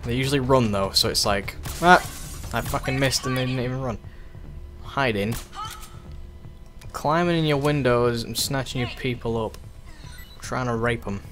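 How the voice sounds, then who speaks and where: A man's voice: mid-range voice, moderate pace, long pauses, a bit bright. A man doing casual let's play commentary on a video game, with a crude, joking, profane way of talking. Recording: game music behind commentary.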